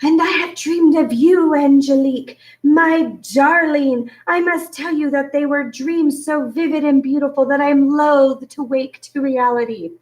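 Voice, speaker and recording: Woman putting on a high, thin, pompous boy. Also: steady tone, measured pace, hardly any pauses. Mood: dramatic. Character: pompous, pedantic, romantic-minded boy with annoying voice. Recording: roomy echo, distant from mic.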